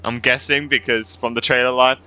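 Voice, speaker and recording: A woman's voice: rumbling low, steady tone, fairly quick, low-quality recording. A woman streaming a game with casual live reactions, muttering asides and repeating her phrases. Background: faint game music.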